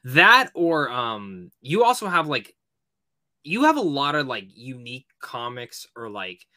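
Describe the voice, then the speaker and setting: A man's voice: medium pitch, wildly swooping pitch, measured pace, pushed and bright, dry recording, studio quality. A man hosting a podcast, chatting informally with swearing and lots of "like". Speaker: podcast host. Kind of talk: casual podcast chat.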